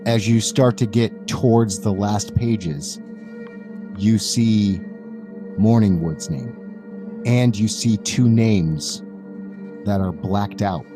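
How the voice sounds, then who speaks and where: A man improvising narration as a tabletop game master in a halting, deliberate, measured manner. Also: lowish voice, over music.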